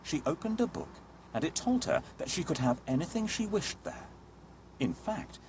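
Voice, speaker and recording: A man narrating a scripted children's fairy tale as an expressive, dramatic storyteller. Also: a little high, a little hiss or hum.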